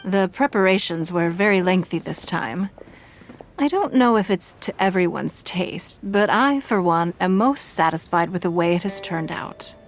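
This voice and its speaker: A woman playing a poised, gracious woman of high standing in a video game, speaking in a measured, formal, elegant manner. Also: lively intonation, slight background noise. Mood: gracious, composed. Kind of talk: video game character dialogue.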